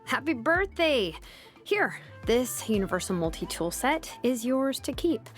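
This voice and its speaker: A woman voicing a laid-back, sleepy young female blacksmith and name engraver from a video game, languid and nonchalant with soft hesitations. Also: fairly high pitch, sing-song, measured pace. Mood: friendly, helpful. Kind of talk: video game character voice lines. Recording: music behind the voice. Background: music.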